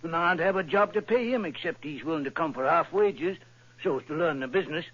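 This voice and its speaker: An actor playing Jabez Wilson, a talkative, simple middle-aged pawnbroker who rambles and chuckles in an old-time radio drama. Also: male voice, a little high, expressive, fast, a bit bright, slightly rough. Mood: telling his story. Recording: dull lo-fi vintage radio recording.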